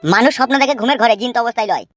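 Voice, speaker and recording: A woman doing a very fast-talking worried cartoon village lad. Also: on the high side, expressive, dry recording, faint music behind, natural voice. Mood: fearful. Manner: slangy, blunt. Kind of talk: Bangla comedy cartoon dialogue.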